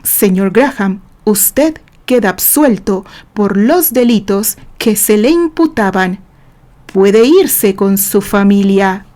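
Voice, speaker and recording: A woman's voice: medium pitch, lively intonation, takes it slowly, dark tone. A woman voicing a weary male judge speaking formally and with authority. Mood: calm.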